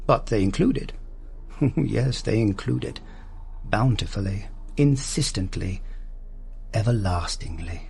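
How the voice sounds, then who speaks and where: A man voicing a sly, soft-spoken old male potion seller, calm and insinuating. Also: on the low side, unhurried, pauses often, exaggerated voice. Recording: gravelly voice over faint music.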